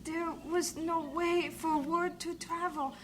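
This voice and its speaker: Deferential Chinese servant woman, high voice, formal broken English, radio drama, noisy old recording. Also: fairly even intonation, takes it slowly, a bit bright, heavily acted. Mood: anxious, deferential.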